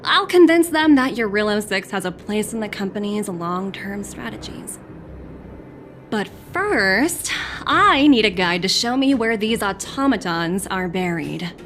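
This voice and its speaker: A woman's voice: medium pitch, expressive, measured pace, a bit bright, a little hiss or hum. A woman playing a shrewd, self-assured young corporate executive in a video game, speaking with polish. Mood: cool, confident.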